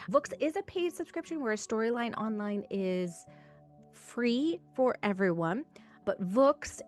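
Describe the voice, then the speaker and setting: A woman hosting as a tutor, giving instructional advice to parents in an encouraging, emphatic way. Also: fairly low pitch. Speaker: reading tutor and host. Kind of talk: instructional talk to camera for parents.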